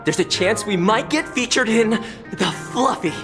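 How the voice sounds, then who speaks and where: A woman's voice: fairly high pitch, expressive, measured pace, over music. An actress voicing an eager, fame-hungry young woman in a video game.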